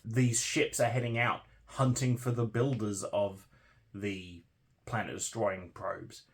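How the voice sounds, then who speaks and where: Man casually discussing a book to viewers. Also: medium pitch. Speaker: book vlogger hosting a read-along.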